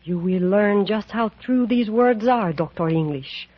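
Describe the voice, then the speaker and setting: A woman playing a foreboding South American widow with a Spanish accent, solemn and measured, in an old radio drama. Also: medium pitch, lively intonation. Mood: solemn, ominous. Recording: muffled vintage radio drama recording.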